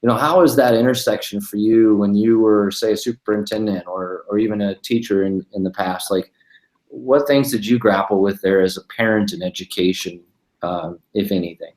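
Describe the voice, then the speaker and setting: A man's voice: on the low side, moderate pace, darkish tone. A man in his thirties co-hosting a podcast, asking a long, rambling question with many fillers. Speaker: podcast co-host. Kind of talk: casual interview question. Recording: roomy echo.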